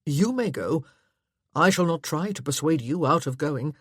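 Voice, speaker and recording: A woman's voice: low voice, expressive, moderate pace, a little mellow, no echo, studio quality. A woman voicing a curt, stubborn, sarcastic husband in a period romance audiobook, with clipped formal phrasing. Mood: curt, defiant.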